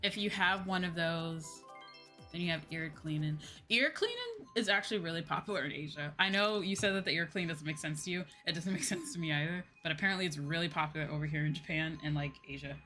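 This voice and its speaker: Rambling woman streamer, lowish voice, loud music behind. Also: fast talker. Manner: rambling, repeats phrases, swears freely. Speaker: streamer, VTuber host. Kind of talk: casual chat with viewers while gaming. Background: video game music.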